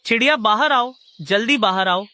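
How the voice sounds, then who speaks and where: A woman's voice: fairly high pitch, moderate pace, pushed and bright. A woman voicing a commanding, scheming male crow in a children's cartoon. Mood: threatening. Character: gruff, menacing male crow villain husband.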